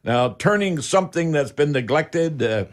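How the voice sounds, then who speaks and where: A man's voice: fairly high pitch, animated. Folksy Canadian radio host, older man. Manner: folksy, halting delivery. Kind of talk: unscripted home improvement radio talk.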